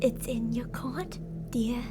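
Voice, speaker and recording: A woman's voice: on the high side, takes it slowly, over music. A woman playing an obsessive yandere captor in an ASMR roleplay, sugary with endearments yet menacing and theatrical. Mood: coaxing, threatening.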